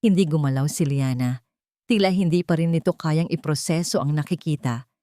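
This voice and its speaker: Casting: woman with a low voice for solemn, scripted romantic drama narration, very dry sound. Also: normal speed, dark tone, no room sound. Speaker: storyteller.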